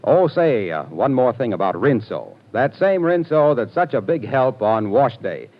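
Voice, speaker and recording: Man, radio announcer, hearty salesy delivery, lively pitch, muffled 1940s broadcast. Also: normal speed. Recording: dull 1940s radio broadcast with faint music.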